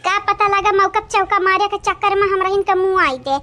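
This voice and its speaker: An actor with an Awadhi accent voicing a chattering, dramatic village woman in a comic cartoon, in a childlike squeaky voice. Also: steady tone, a little fast, noisy background. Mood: animated banter.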